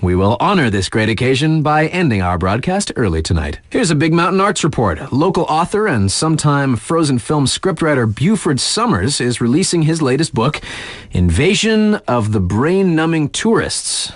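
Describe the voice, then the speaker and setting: An actor playing a laid-back, wry mountain-town radio DJ in a video game, reading mock local news with casual, slangy deadpan asides. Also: male voice, fairly high pitch, moderate pace, no room sound, clean studio sound. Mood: playful, wry.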